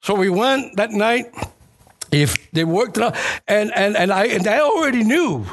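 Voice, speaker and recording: A man's voice: sits high, expressive, normal speed, a bit bright, a little hiss or hum. A middle-aged or older man preaching a church sermon as personal testimony, telling it conversationally and repeating phrases for emphasis. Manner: conversational storytelling.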